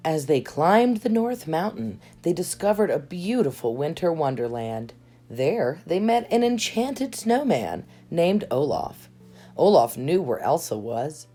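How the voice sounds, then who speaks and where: Low-voiced woman reading a storybook, lively intonation. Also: slightly rough. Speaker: storybook reader. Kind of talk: expressive read-aloud of a children's storybook. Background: background music.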